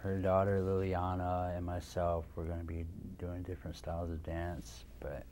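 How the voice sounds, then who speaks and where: A man in his thirties talks easily into a lapel mic on a studio set. His voice sits very low and sounds mellow. He rambles, restarting sentences and leaning on "you know", over a faint hiss behind him. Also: monotone, moderate pace. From Nebraska, USA. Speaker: guest, dance instructor. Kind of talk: casual interview talk.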